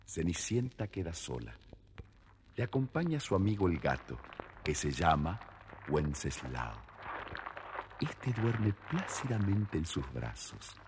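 A man, giving expressive scripted narration of a children's story. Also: deep voice, slow, pauses often. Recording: reverberant old record, faint noise.

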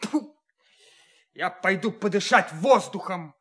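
A frustrated young man in a Russian audio drama. His voice sits a little high, and he speaks with weary resignation. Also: lively intonation, slow pace, a bit bright, a little hiss or hum, heavily acted. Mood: disgusted.

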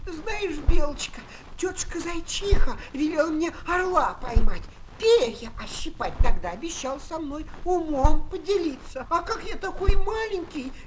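Young male hare, children's audio play: tiny high voice, slow, plaintive, naive, good-hearted; noisy vintage disc. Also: animated. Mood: plaintive, pleading. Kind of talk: children's audio drama acting. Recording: noisy 1970s flexi-disc record.

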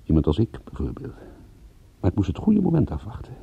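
A man playing a wry, pensive private detective, narrating his story in a measured, musing way in a radio play. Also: fairly low pitch, huge pitch swings, vocal fry, breathy edge, no echo, phone-quality sound, heavily acted. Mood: brooding, wry.

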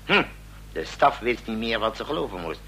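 Forceful, curt male president. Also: lowish voice, sing-song, moderate pace, full character voice. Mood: grave, suspicious. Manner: curt, authoritative. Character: authoritative, gruff male president, roused from sleep. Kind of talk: Dutch radio drama acting. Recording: faint noise and hum, old radio recording.

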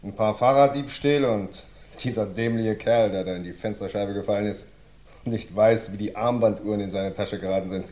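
An actor playing a nervous, eager police clerk in an old radio play. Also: male voice, on the low side, fairly quick, a little mellow, echoey room, lightly acted. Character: jumpy young male police clerk.

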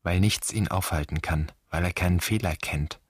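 Casting: embittered son in a radio play, extremely low husky voice, brooding and accusing. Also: male voice, normal speed. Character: bitter grieving adult son addressing his dead father. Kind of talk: literary radio drama monologue.

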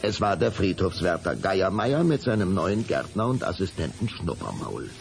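A man narrating a German children's radio play as a measured, suspenseful storyteller. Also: low-pitched, sing-song, vocal fry, a little hiss or hum, heavily acted.

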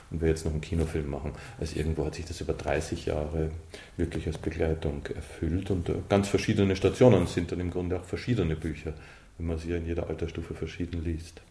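A man in his fifties speaks in a low, mellow voice. He explains his views reflectively and with emphasis, as an author in an interview. The room adds noticeable echo. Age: 50s. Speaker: author and director being interviewed. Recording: roomy, reverberant interview sound.

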